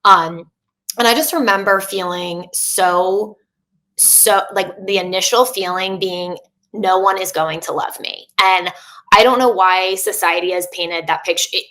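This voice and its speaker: A woman's voice: medium pitch, steady tone, unhurried, bright, forceful tone, low-quality recording. A woman in her thirties, a coach talking as a podcast guest, speaking openly in a chatty way with many fillers and restarts. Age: 30s. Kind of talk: candid casual interview.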